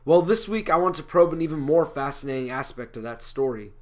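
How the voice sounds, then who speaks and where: A man's voice: on the high side, expressive, fairly quick, close to an everyday voice. A man performing a comic stage monologue as an indignant, self-justifying prophet, with emphatic, declamatory delivery. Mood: self-important. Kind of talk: rehearsed comic speech monologue.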